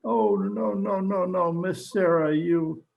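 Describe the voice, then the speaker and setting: A man playing a flustered, concerned gentleman, halting, in an old-time radio drama. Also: fairly high pitch, expressive, muffled recording.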